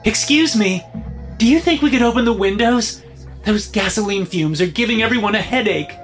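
A man voicing a brave, gentle, protective mother in an audiobook, with a polite and reassuring manner. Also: high voice, normal speed, soft background music, pitched above the performer's own voice. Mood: polite concern. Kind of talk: audiobook character acting.

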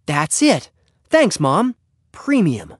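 A man's voice: a little high, very animated, normal speed, no echo, studio quality, pitched above the performer's own voice. A man voicing an earnest, eager young schoolboy trying to sound businesslike in a storybook reading. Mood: pleased. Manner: hesitant, flustered salesmanship.

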